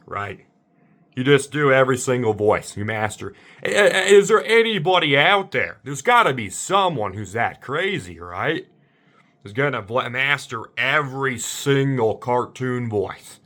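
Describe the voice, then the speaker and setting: A man rambling casually to viewers as an amateur impressionist, sounding bewildered and circling back over his points. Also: a bit bright. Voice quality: slightly deep voice.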